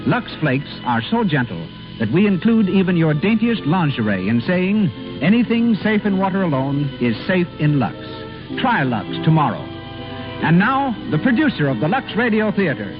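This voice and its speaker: An American man announces in vintage 1930s radio fashion. His pitch is high and swings with showmanlike emphasis. Clear music plays behind him on a dull old recording. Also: very animated, normal speed. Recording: muffled 1930s radio broadcast.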